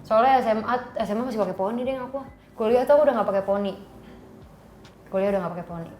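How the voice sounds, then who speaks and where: A woman's voice: medium pitch, fast, a little mellow, slight background noise. A young woman from Java in her twenties, a singer guesting on a casual podcast, chatty and laughing often.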